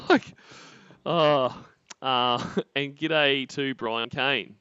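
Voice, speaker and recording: A man's voice: medium pitch, drawn-out words, bright, forceful tone, clean tone, dry recording. A man cracking jokes and laughing often as co-host of a stream reaction. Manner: mocking, laughs a lot. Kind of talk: casual banter. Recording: dull, dry home mic.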